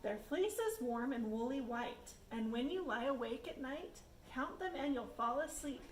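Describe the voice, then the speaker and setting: A woman's voice: high voice, animated, normal speed. A woman reading a rhyming children's picture book aloud, addressing children and inviting guesses. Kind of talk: rhyming storybook read-aloud. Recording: echoey room, background noise.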